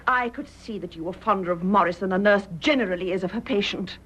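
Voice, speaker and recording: An actress playing a proud, emotional young British wife in a radio drama, well-bred and expressive, with a breathy voice. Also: female voice, mid-range voice, fast. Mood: indignant. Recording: dull, muffled radio drama recording.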